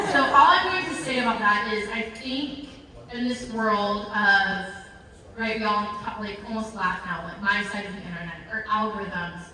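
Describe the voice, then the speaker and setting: A woman introducing a live panel as a nonprofit founder, rambling and excited with many fillers and self-corrections. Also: mid-range voice, slight background noise. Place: large event hall with audience. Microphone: handheld mic, heard from a distance. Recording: echoey hall recorded from far off.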